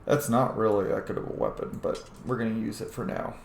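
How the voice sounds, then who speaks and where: A man's voice: on the low side, steady tone, a little fast, vocal fry. Echoey, opinionated male gameplay host. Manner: opinionated, profane. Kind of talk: casual rambling gameplay commentary. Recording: echoey room, faint noise.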